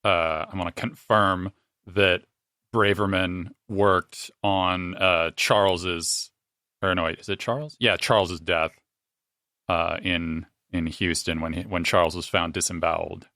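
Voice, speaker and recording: A man's voice: low voice, fairly even intonation, measured pace, pushed and bright, no echo, studio quality. A man playing a tabletop role-playing game, talking casually and partly in character.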